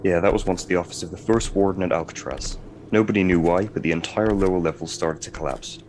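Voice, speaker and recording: A man playing a gruff, sceptical prison warden in an audio drama, dry, plain-spoken and commanding. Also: sits low, fairly even intonation, fast, darkish tone, slight background noise, natural voice. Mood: explaining.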